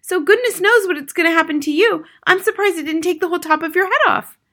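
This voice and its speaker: A woman's voice: extremely high pitch, fast talker, pushed and bright, pitched above the performer's own voice, heavily acted. A woman voicing a bossy, scornful middle-aged wife and mother in a storybook, shrieking and scolding in exasperation. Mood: exasperated.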